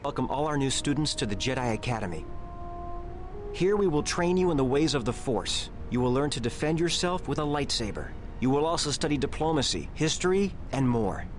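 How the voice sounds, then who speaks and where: Man playing calm, solemn Jedi grand master. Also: medium pitch, slight background noise, lightly acted. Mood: formal, welcoming. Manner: measured, formal, solemn. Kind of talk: scripted video game voice acting. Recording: music behind the voice.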